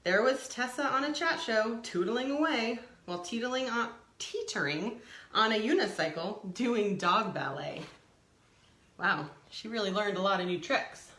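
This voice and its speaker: A woman reading a picture book aloud to young children, expressively, pausing to add simple explanatory asides. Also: mid-range voice, expressive, bright, forceful tone, roomy sound. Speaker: storytime reader.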